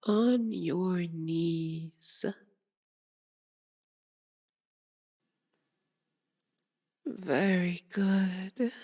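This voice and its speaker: A seductive, sadistic vampire mistress, played by a woman in an intimate ASMR roleplay. She speaks very slowly in a slightly low voice. Her delivery is possessive and commanding, a purr that often slips toward a whisper. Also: darkish tone, full character voice. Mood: menacing, pleased. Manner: slow, purring, domineering, often dropping into whispers.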